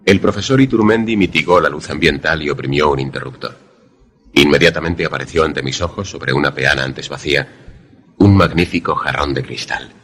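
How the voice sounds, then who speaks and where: Guy acting as formal student narrator in a suspense radio play, really deep n husky, measured, awed. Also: a bit bright, full character voice. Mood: measured, suspenseful. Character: awed male former student narrating, deferential and eager. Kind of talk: literary scripted narration and dialogue in suspense radio drama.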